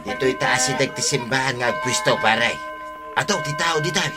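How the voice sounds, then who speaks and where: A man playing an excitable, mischievous swindler's sidekick in an Ilocano comedy drama, with an Ilocano accent and exclamatory delivery. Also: mid-range voice, normal speed, over loud music, natural voice. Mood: hawking.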